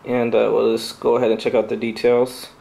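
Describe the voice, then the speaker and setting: A man giving an unscripted product review, speaking in a hesitant, halting, off-the-cuff way as a channel host. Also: mid-range voice, monotone, gravelly, dark tone.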